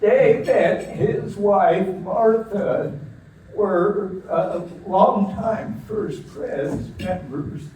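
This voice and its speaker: A man interviewing a guest at a church gathering, slowly introducing people to the audience in a large meeting room. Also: sits high, expressive. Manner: slow, deliberate speaker addressing a room. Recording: echoey room from far off, faint noise.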